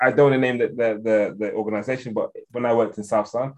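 A man with an English accent talks through his points as an interview guest. He is earnest and often restarts his sentences while he thinks aloud. His voice sits at a middling pitch. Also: steady tone, quick pace, darkish tone. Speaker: guest expert on African security policy. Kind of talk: conversational interview answer.

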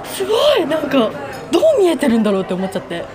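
A woman's voice: high-pitched, wildly swooping pitch, fast. A young woman co-hosting a podcast, chatting casually in polite, eagerly agreeable speech. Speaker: podcast co-host. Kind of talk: casual chat. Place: restaurant, recorded while eating. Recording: busy restaurant chatter behind. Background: crowd chatter.

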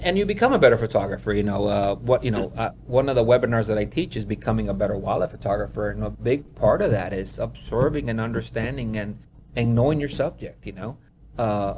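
A man's voice: on the low side, a little mellow, slight background noise. A man from Honduras who works as a wildlife photographer, telling stories in a casual interview. He rambles, leans on "you know" and laughs often.

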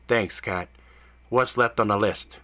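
A man's voice: on the low side, measured pace, bright, forceful tone. A man voicing a cocky, joking male demon hunter who uses British slang, speaking in a breezy manner.

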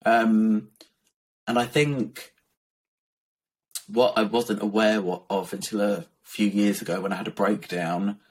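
Soft-voiced, low, tired-sounding man. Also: level tone, measured pace, pauses often, slightly rough. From Essex, England. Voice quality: soft, gentle voice. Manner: candid, reflective, many ums and soft laughs. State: croaky and tired. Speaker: guest, children's author. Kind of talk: casual interview talk.

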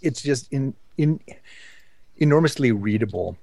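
A man's voice: mid-range voice, expressive, a little slow, creaky, dark tone, no room sound. A man co-hosting a talk show, chatting casually and knowledgeably about books with emphatic corrections and asides. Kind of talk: casual book discussion.

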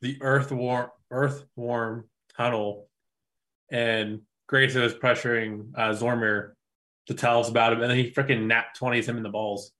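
A player chatting casually, an animated man who laughs easily. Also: medium pitch, a little slow. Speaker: player and podcaster. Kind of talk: casual stream chat.

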